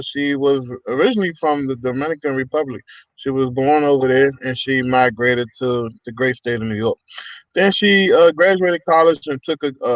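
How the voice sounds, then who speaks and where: A man's voice: medium pitch, no room sound, dull audio. A man hosting a casual livestream podcast, welcoming viewers with upbeat energy and lots of ums and uhs. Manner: upbeat, hype-style welcomes with many fillers. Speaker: podcast host.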